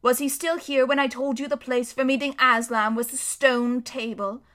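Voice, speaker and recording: A woman reading aloud voicing an earnest, protective male beaver who speaks slowly and with weight. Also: high voice, steady tone, a bit bright. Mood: urgent.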